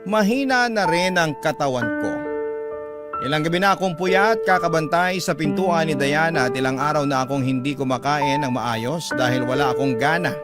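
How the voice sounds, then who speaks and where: High-pitched Filipino male storyteller over loud music. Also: moderate pace. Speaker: radio story narrator. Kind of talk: scripted emotional first-person story narration.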